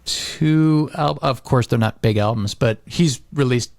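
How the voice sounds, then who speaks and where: A man's voice: mid-range voice, unhurried, gravelly, darkish tone, dry recording. A man in casual podcast conversation, telling stories informally and acting out quotes as he goes. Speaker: podcast host.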